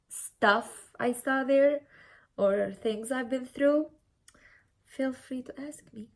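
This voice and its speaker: Young woman with a Syrian Arabic accent. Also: a little high. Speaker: beauty vlogger. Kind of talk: casual makeup tutorial chat.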